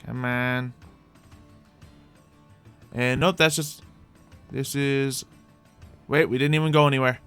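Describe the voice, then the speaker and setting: A man's voice: medium pitch, steady tone, takes it slowly, pauses often, a bit bright, clean tone. Rambling male gamer over music. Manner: rambling, repeats his own phrases. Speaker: host of a Minecraft roleplay video. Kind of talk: casual gameplay commentary, talking to viewers in light roleplay.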